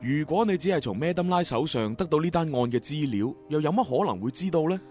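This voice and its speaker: An actor voices a cocky agent in a radio drama. His delivery swings widely as he explains and brags, smug and animated. Music plays underneath. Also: on the high side, quick pace, close to an everyday voice. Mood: smug, explaining. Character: boastful male time-travelling agent, a senior colleague. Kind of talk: Cantonese radio drama acting.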